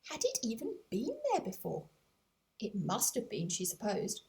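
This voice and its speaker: A woman narrating a scripted storybook as an audiobook, in an expressive storytelling manner. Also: medium pitch, unhurried. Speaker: author narrating her own audiobook.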